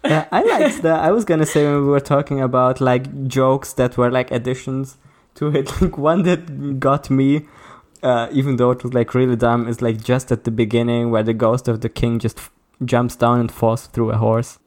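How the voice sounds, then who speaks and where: A man's voice: mid-range voice, moderate pace, few pauses, a little mellow. A young man co-hosting a podcast, talking hesitantly with many "like"s and restarts. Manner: hesitant, lots of fillers and restarts. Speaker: podcast co-host. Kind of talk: casual chat.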